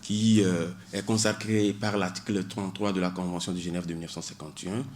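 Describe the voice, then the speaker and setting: A man's voice: low-pitched, level tone, brisk, darkish tone, a little hiss or hum. A man, a doctoral student in public law, explaining asylum law on a radio show with many hesitations and cited articles. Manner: hesitant, many fillers, cites legal articles.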